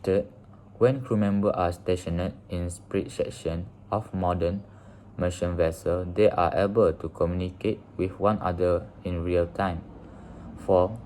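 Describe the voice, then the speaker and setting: A young Malaysian man with a deep, mellow voice reads a student presentation in a formal way. There is faint noise in the recording. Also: low-quality recording. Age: young adult. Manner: formal, enumerating points. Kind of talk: scripted class presentation.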